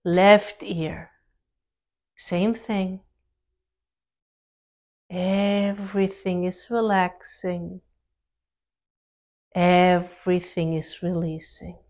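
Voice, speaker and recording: A woman leading a guided relaxation meditation as an instructor, speaking calm, gentle instructions in short phrases with pauses between them. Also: fairly low pitch, lo-fi sound.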